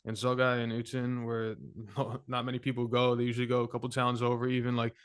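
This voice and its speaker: Laid-back man with smooth even voice. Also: mid-range voice, quick pace. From United States. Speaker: guest musician. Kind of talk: casual podcast interview.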